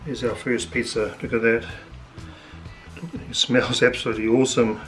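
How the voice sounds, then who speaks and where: A middle-aged New Zealand man talks through his cooking in a laid-back, rambling way, his voice slightly hoarse. His pitch sits in an ordinary middle range. He is picked up by a camera in a home kitchen, with faint music underneath. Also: moderate pace. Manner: relaxed, chatty, thinking aloud with fillers. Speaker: home cook vlogger. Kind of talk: casual cooking commentary.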